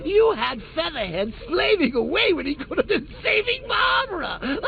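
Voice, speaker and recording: A man's voice: extremely high pitch, big pitch swings, slow pace, shouting, full character voice. An actor voicing a manic male clown villain in a video game, taunting in a theatrical, mocking way with sudden cackles. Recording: tinny lo-fi capture with clear hiss.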